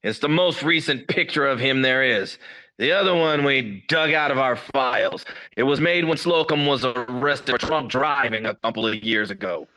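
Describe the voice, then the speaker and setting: A man's voice: medium pitch, moderate pace, pushed and bright, close to an everyday voice, poor audio. An actor playing a blunt, demanding male police captain in a radio drama. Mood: stern. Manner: blunt, insistent.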